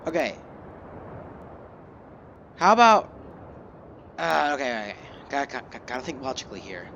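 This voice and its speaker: A young man giving casual, halting game commentary, thinking aloud as he plays. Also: mid-range voice, sing-song, gravelly, a bit bright, dull audio. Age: young adult. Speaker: gamer recording a playthrough. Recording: game music behind voice.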